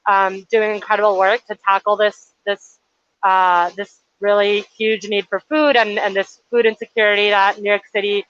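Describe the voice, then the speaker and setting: A woman's voice: medium pitch, little pitch movement, normal speed, a bit bright, clear, resonant tone, dry recording. A woman in her twenties from Chile, an intern answering interview questions in a friendly, articulate way with light fillers. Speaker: nonprofit intern. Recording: lo-fi livestream audio.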